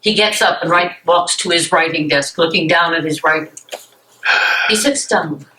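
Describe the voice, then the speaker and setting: A woman's voice: low-pitched, normal speed, pushed and bright, far from the mic. A woman reading stage directions as narrator, in scripted narration recorded in an echoey room. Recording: echoey room with faint noise.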